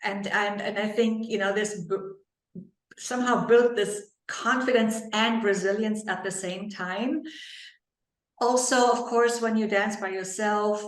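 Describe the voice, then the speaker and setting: A woman from Germany with a German accent, a guest on a podcast talking about her life in a relaxed, reflective way and laughing lightly now and then. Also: medium pitch, measured pace, echoey room, poor audio. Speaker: podcast guest, leadership coach. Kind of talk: casual interview conversation.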